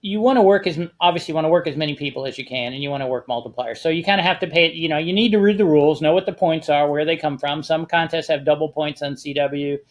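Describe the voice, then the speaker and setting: Man with a higher-pitched voice, explaining casually. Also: fast talker, hardly any pauses. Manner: conversational, giving practical advice. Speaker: panelist on a ham radio discussion show.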